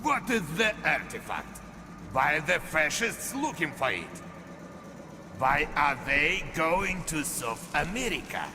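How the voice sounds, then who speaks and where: An actor playing a cold, commanding Soviet colonel with a Russian accent and a mockingly polite, menacing manner in a video game. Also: male voice, on the high side, very animated, slow, exaggerated voice. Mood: threatening. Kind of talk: video game cutscene acting. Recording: long hall reverb, music behind.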